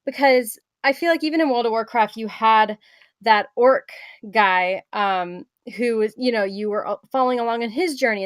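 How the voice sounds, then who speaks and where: A woman with a somewhat high voice offers casual critique. Her phrasing rambles and halts as she works through her thoughts. The sound is dry, with very little room. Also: no echo. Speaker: table reader giving script feedback.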